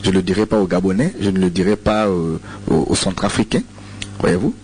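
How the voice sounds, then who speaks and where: A man's voice: deep voice, fairly quick, a little mellow, slight background noise. A man from Congo with a Congolese French accent, a radio preacher answering listener questions, hesitant, with many repeated words and fillers. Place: radio studio.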